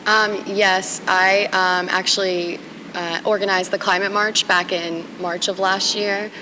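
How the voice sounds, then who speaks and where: A woman's voice: mid-range voice, flat delivery, measured pace, background noise. A young woman, a protest organizer, speaking earnestly and emphatically on a TV panel. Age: young adult. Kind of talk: panel discussion.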